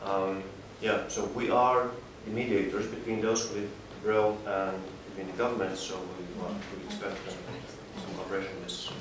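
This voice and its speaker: A man gives a halting, explanatory presentation as a panelist. His voice is fairly low and heard from far off, with very strong room echo. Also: flat delivery. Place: indoor panel discussion room. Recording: distant echoey room, clear noise.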